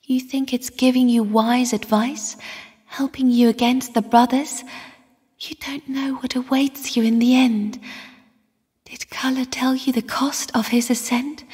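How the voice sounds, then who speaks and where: An actress playing a mysterious, sorrowful young spirit in a video game, speaking in a hushed, solemn, airy whisper. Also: female voice, high voice, husky, heavily acted. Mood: urgent warning, then solemn warning. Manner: slow, hushed, solemn delivery. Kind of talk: video game character voice acting. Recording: long cavernous reverb.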